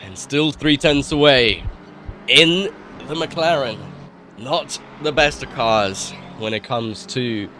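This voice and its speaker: A man commentating a sim racing broadcast live, sounding nasal from a cold, rising in excitement at overtakes. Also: mid-range voice, a little hiss or hum. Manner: excited rises at overtakes, quick asides. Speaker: race commentator.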